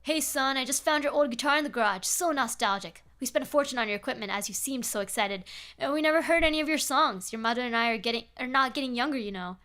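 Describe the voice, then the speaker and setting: A woman's voice: high voice, fast, bright, forceful tone. Woman reading as a chatty, nostalgic older dad. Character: nostalgic, chatty older father writing to his son.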